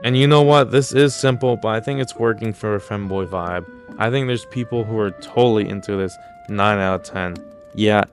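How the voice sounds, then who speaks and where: A man hosting casual comedic video commentary, mock-serious, blunt and crude with plenty of swearing. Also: lowish voice. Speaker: YouTube host.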